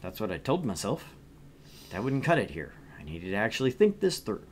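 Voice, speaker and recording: A man's voice: fairly low pitch, sing-song, a little fast, a little hiss or hum. A man reading as a bemused, earnest young job seeker who narrates their thoughts in a visual novel. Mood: reflective.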